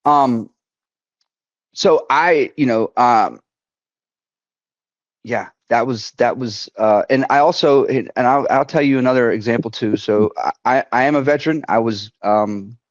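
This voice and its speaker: A man, a veteran, telling a personal story at a community council in a halting way, often restarting and quoting others. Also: medium pitch, dry recording, highs cut off. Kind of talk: personal story told to an online forum.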